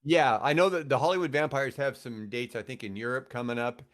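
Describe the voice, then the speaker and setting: A middle-aged man with an American accent and an average-pitched voice chats as a podcast guest. He tells stories in a rambling, enthusiastic way, circling back and adding asides as he goes. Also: fairly even intonation, brisk, a bit bright. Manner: rambling, enthusiastic about music. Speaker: podcast guest, guitarist and radio host. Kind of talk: casual storytelling conversation.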